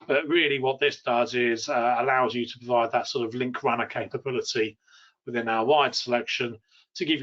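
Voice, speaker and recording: A man's voice: medium pitch, a bit bright. The recording is a little dull and lo-fi. A man talks as a technical trainer giving a live product demo. His explanations are informal and loosely phrased, with a hesitant way of getting to each point.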